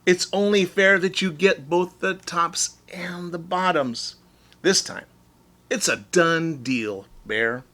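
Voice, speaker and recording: A man's voice: high voice, slow pace, voice pushed up, heavily acted. A man voicing a clever, cheerful hare farmer with a slyly persuasive manner in a children's storybook. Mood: smooth, placating. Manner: calls out loudly and brightly. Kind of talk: children's storybook read-aloud.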